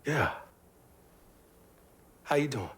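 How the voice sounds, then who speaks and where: A man's voice: medium pitch, expressive, extremely slow, fairly bright tone, breathy edge, heavily acted. An actor playing a troubled, guarded middle-aged father who is estranged from his family, with a Southern US accent and a terse, weary manner. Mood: guarded, dry. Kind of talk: dramatic video game acting.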